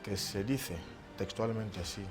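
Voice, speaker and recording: Low-voiced male panel guest giving advice. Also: over music. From Equatorial Guinea. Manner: measured, advising tone with proverbs. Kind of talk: studio panel discussion.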